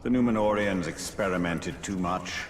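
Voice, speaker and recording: A man's voice: sits low, normal speed, slight background noise, close to an everyday voice. An actor playing a grave, knowing, aloof man in a fantasy video game, giving dry, terse, authoritative pronouncements. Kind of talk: scripted fantasy game dialogue.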